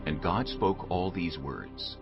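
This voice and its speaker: Low-voiced man narrating scripture over music. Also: very animated, slow, creaky. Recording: dull lo-fi audio, loud music bed. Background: loud background music.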